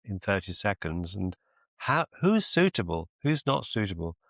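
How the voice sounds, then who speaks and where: Male business mentor, deep voice with gravelly fry, deliberate and encouraging, close dry sound on a coaching call. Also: big pitch swings, a bit bright, no echo. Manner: deliberate, encouraging, repeats phrases for emphasis. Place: video call.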